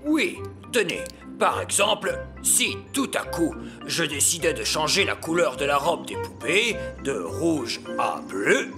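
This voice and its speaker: An exacting cartoon elf, proud of his work and fond of emphatic announcements. The voice sits high and swings dramatically, at an unhurried pace. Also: sing-song, music in the background. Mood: proud, explaining. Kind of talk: French cartoon dubbing.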